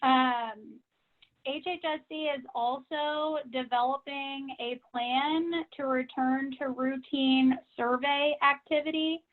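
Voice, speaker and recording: A woman giving a measured, businesslike informational briefing to members over a conference call, on a tinny phone line. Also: high voice, fairly even intonation. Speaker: association staff member giving member updates.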